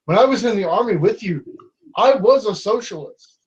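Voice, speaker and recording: A man's voice: high-pitched, expressive, moderate pace, muffled recording. A man in his twenties debating online in a casual way, with many restarts and fillers as he argues. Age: 20s.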